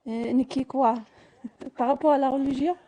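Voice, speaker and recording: A woman's voice: high voice, steady tone, moderate pace, warm tone, muffled recording. A woman giving personal testimony in a live discussion, hesitantly searching for words and repeating small ones. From Algeria. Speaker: live audio discussion participant.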